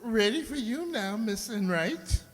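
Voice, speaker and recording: A woman's voice: on the high side, expressive, a little slow, in a large hall, soft background music. A woman playing a fretful, hesitant beautician with a stammering, theatrical dismay in old-time radio comedy style. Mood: obliging. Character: fretful beautician Antoine, reluctantly disloyal.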